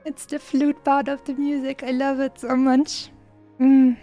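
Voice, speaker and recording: A woman's voice: sits high, flat delivery, a little slow. A woman game streamer giving casual, enthusiastic commentary in a rambling, hesitant way. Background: soft game music.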